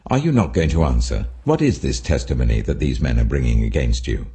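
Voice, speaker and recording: Man acting a stern, gravelly high priest. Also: sing-song, fairly quick, dark tone, heavily acted. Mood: imperious questioning. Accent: British Received Pronunciation. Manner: accusing, imperious, rising emphatic questions. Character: stern, indignant male high priest interrogating a prisoner.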